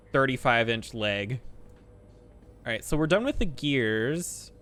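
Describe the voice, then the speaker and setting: A man's voice: mid-range voice, a bit bright. A man in his twenties chatting casually while playing a game, rambling, swearing freely and joking about himself. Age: 20s. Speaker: streamer.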